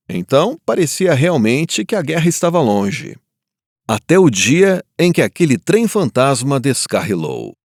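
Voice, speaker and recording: Guy playing a grieving war survivor, solemn reflective storytelling, pitch moves a lot, really dry sound. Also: darkish tone, dry recording. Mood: wistful, reflective. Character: grieving Japanese survivor of the Nagasaki bomb, looking back. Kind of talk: scripted first-person dramatic narration.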